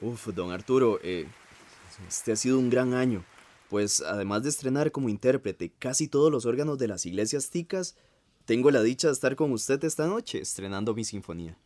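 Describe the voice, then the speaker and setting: A man's voice: mid-range voice, lively intonation, moderate pace, darkish tone, no echo, natural voice. A man playing a gracious, modest 1950s composer in a radio theatre interview, with a Costa Rican accent and a formal, reflective manner. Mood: pleased.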